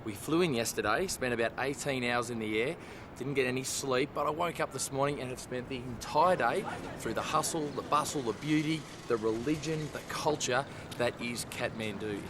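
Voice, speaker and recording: A man's voice: medium pitch, normal speed. An enthusiastic Australian man presenting a travel documentary in an upbeat way, speaking to the audience. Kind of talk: upbeat presenting, partly to camera, partly scripted narration. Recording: noisy outdoor location sound.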